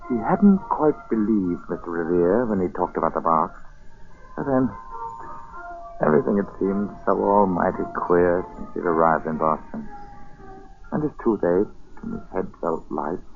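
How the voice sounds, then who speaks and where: A man narrating a colonial tale in a 1940s radio drama as a folksy, deliberate and suspenseful storyteller. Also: deep voice, lively intonation, vocal fry, faint music behind, exaggerated voice. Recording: muffled, hissy 1940s radio recording.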